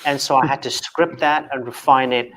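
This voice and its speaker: A man's voice: medium pitch, fairly even intonation, moderate pace, pushed and bright, slight background noise. A man who grew up in Kenya and speaks English as a second language, a guest on a video call chat, often repeating words and restarting with a stutter. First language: not English. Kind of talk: casual video call interview.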